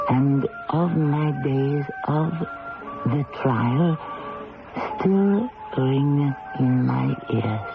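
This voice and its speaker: An actress playing a proud, resigned condemned queen in her late thirties, speaking formally and deliberately in a radio drama. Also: a bass voice, gravelly, narrow, tinny audio, heavily acted. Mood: solemn. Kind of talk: 1970s radio drama acting. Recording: band-limited old radio recording, reverberant, music behind.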